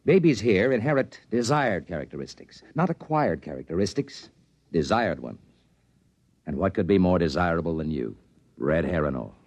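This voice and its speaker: A man's voice: sits low, sing-song, moderate pace, a little mellow, no echo, full character voice. An American actor playing a shrewd, confident, wry trading-post man in a 1950s radio drama. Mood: smug, tender. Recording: dull vintage radio recording.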